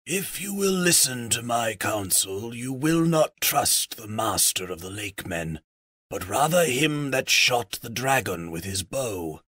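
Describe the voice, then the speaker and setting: A man voicing a decrepit, wise old raven chief in a storybook, croaking out solemn, formal counsel. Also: mid-range voice, very animated, a bit bright, dry recording, studio quality, exaggerated voice. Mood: grave, weary. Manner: slow, formal, archaic counsel.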